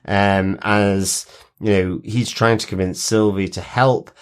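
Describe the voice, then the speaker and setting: A man co-hosting a podcast, casually discussing a TV episode in halting phrases with repeated words. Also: fairly low pitch, steady tone, clear, resonant tone. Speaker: podcast co-host. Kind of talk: casual TV episode review chat.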